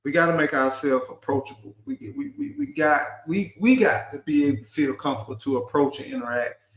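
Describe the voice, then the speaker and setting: A man's voice: fairly high pitch, big pitch swings, a little fast, reverberant. A middle-aged man hosting a live stream, talking earnestly and urging his listeners, often repeating and restarting words. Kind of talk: casual live stream talk.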